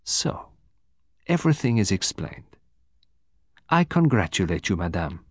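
A man playing a composed, formal detective from abroad, speaking deliberately with dramatic emphasis in an audiobook. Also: deep voice, very animated, no echo, studio quality, full character voice. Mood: dry, probing. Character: composed, precise foreign male detective, quietly theatrical.

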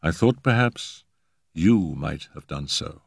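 A man voicing a calm, perceptive gentleman in a storybook reading, speaking quietly and deliberately. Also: very deep voice, gravelly, breathy edge, no echo, clean studio sound, exaggerated voice.

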